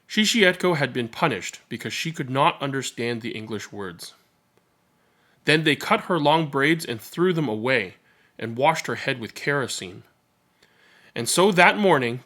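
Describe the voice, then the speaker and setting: A man reading a children's picture book aloud as narrator, in a measured, expressive and dramatic storytelling manner. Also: medium pitch.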